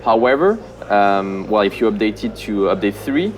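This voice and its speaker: A man, a senior game producer, answering questions in a relaxed, halting way with many pauses and a dry sense of humor. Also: on the low side, crowd noise. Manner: relaxed, many ums and uhs, self-deprecating humor. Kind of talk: casual interview answers.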